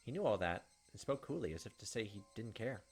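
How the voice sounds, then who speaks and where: A man's voice: on the low side, big pitch swings, quick pace, slightly rough. A man hosting a let's play, reading game text aloud dramatically with casual asides. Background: game music.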